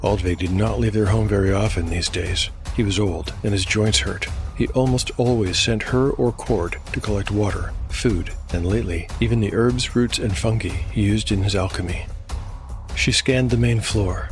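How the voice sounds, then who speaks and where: A man narrating a scripted dark fantasy audio drama in a measured, dramatic storytelling manner. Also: lowish voice. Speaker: narrator and host. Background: music.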